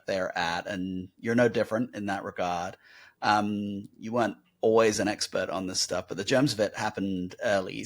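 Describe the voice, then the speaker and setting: A man's voice: on the low side, a bit bright. A man hosting a podcast, asking questions in a friendly, hesitant way. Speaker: podcast host. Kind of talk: conversational interview.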